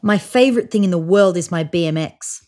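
A woman in her thirties reading a children's picture book aloud in an expressive, child-directed way. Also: lowish voice, fairly quick. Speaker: storybook reader. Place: small room indoors, seated on a couch.

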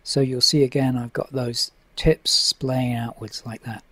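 A middle-aged man talking through a fly-tying demonstration in a relaxed, methodical way at his home tying desk. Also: medium pitch, measured pace, no room sound. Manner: relaxed, methodical, with frequent uh fillers. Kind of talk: instructional voice-over.

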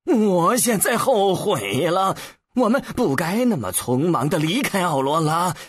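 Male reader doing brusque male adventurer, low husky voice, sardonic, impatient, very animated, audiobook sci-fi. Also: normal speed, no echo, studio quality, full character voice. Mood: growling, determined. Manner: skeptical, commanding, sardonic. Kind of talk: acted audiobook dialogue.

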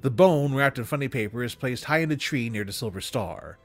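A young man reading a literary story aloud in an expressive storytelling style, close to a desk microphone. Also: medium pitch, brisk, soft background music. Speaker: reader. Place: small room at home, indoors.

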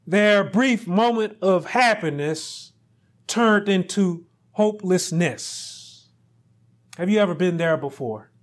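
A middle-aged male pastor preaching a sermon, speaking deliberately and rising to loud, emphatic peaks. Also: high-pitched, animated.

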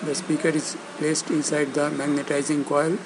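A man narrating instructions step by step, speaking in short, halting phrases with pauses between them. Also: fairly high pitch, level tone, noisy background. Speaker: instructor demonstrating loudspeaker assembly.

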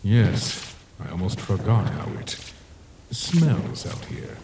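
Man as a slow, gentle skeleton, noisy recording. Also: fairly low pitch, big pitch swings. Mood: wistful. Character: gentle, worried older skeleton monster brother. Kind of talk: comic dub voice acting.

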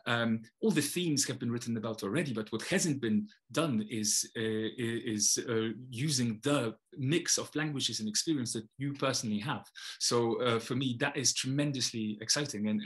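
A man's voice: medium pitch, a bit bright. A man in his thirties with a Polish accent, a poet talking casually to a webcam from his home study, thoughtful and full of hesitations and restarts. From Poland. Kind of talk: casual talk to camera. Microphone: webcam, video call framing.

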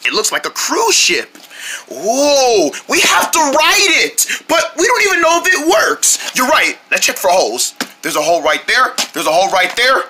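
A performer voicing an excitable, childlike cartoon character in playful, exclamatory banter on a noisy home recording. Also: mid-range voice, moderate pace. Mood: excited.

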